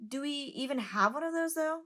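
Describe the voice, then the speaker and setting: A woman's voice: sits high, animated, brisk, bright, forceful tone. A woman in an amateur audio drama playing a curious, outspoken young passenger who chats and lectures. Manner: chatty, lecturing.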